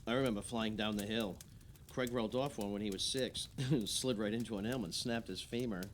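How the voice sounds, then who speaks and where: A man's voice: on the low side, steady tone, a little fast, a little hiss or hum, natural voice. An actor playing a chatty, nostalgic man visiting friends in a naturalistic audio play. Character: chatty, nostalgic male guest at a holiday party.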